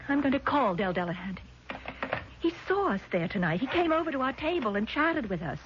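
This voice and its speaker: Agitated woman, highly animated delivery. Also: fairly quick. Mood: shaken, confused. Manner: emphatic, indignant, faltering when doubted. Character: agitated, confused woman insisting on her memory. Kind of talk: 1970s radio drama acting. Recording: muffled vintage radio broadcast, faint noise.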